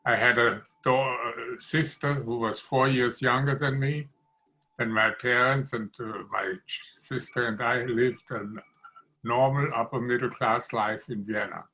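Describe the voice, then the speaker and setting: A man in his nineties recounts his memories slowly and deliberately over a video call. His voice has a bright, forward edge, and he lays out each sentence at an unhurried pace in a measured way. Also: medium pitch, fairly even intonation, rough recording. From Austria. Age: 90s. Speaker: interviewee. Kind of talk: oral history interview.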